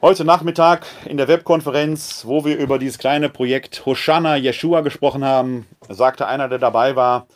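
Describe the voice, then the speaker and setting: A man preaching a calm, reflective sermon in a church video podcast, speaking. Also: medium pitch, moderate pace, few pauses.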